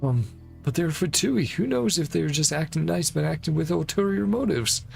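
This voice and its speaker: A man's voice: on the high side, animated, normal speed, creaky, soft background music, pitched above the performer's own voice. A man voicing a wary, grumbling city guard in a fantasy game, talking in a suspicious, defensive way. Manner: defensive, suspicious, reasoning aloud.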